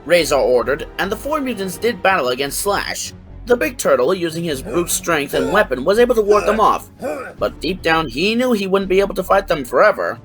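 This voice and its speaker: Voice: medium pitch, very animated, brisk, fairly bright tone, soft background music, low-quality recording. A narrator reading a scripted fan fiction story.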